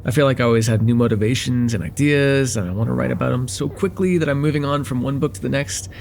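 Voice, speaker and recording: A man's voice: medium pitch, fast talker, vocal fry, darkish tone, slight background noise, close to an everyday voice. A man playing a friendly, chatty young guy at a cafe in a casual, slightly hesitant conversational ASMR roleplay. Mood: friendly, curious.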